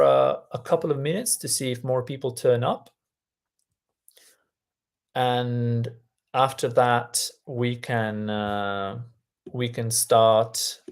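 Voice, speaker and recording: Slow-talking Italian man, native speaker. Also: medium pitch, darkish tone. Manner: unhurried, many ums and restarts, friendly. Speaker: Italian language coach. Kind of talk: live-stream lesson, reading chat aloud.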